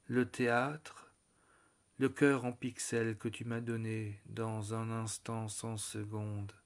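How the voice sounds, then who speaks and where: A man recites literary verse at a very slow pace. His medium-pitched voice stays level, with little melodic movement. He sets out each short phrase deliberately and leaves pauses between them. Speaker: poetry reader.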